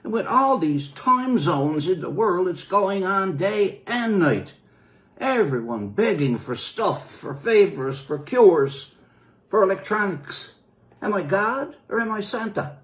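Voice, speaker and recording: A man's voice: sits high, big pitch swings, slow, fairly bright tone, voice pushed up, full character voice. A man reading a story as a blunt, self-absorbed God who lectures dismissively. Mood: scornful, exasperated. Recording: roomy echo.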